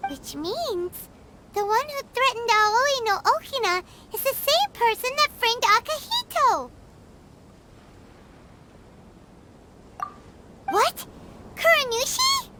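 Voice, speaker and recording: A woman voicing a tiny, excitable floating female companion in a game, with a squeaky childlike voice who talks about herself in the third person. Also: unhurried, slight background noise, full character voice. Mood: startled. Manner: refers to herself in third person, eager and nosy. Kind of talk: game cutscene dialogue.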